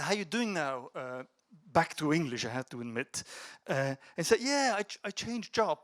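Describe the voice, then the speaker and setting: A man's voice: fairly high pitch, a bit bright. A middle-aged man giving a talk to an audience, telling an anecdote and animatedly quoting dialogue before reflecting on it. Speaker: speaker at a youth debate.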